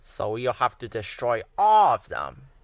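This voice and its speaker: Brief: snarling storybook diesel bully, read by a man, mid-pitched, dry sound. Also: huge pitch swings, a little slow, no room sound. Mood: menacing. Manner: growling, hissing, sneering. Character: menacing male diesel engine villain.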